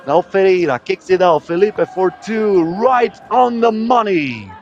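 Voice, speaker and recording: A man's voice: high-pitched, muffled recording. A man giving live play-by-play commentary on a basketball game as a sports commentator.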